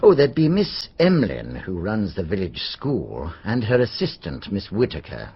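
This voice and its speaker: An actor playing an older retired British police superintendent in a radio drama, practical, informal and musing. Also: male voice, lowish voice, wildly swooping pitch, a little slow, slightly rough, full character voice. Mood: speculative. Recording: slightly dull radio recording.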